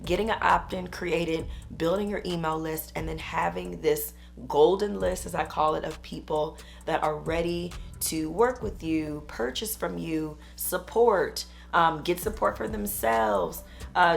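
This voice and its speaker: Hoarse woman, 30s, business coach; rough, gravelly, lowish voice, upbeat and chatty. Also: unhurried, soft background music. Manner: chatty, upbeat, uses "y'all". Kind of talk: casual talk to camera.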